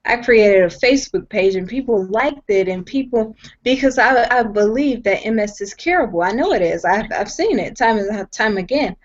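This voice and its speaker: A woman's voice: on the high side, moderate pace, hardly any pauses. A young woman at home on a video call, telling her story in an earnest, emphatic way, often repeating herself and restarting sentences. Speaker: guest sharing her story. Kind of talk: personal testimony on a video call. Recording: dull lo-fi video call audio.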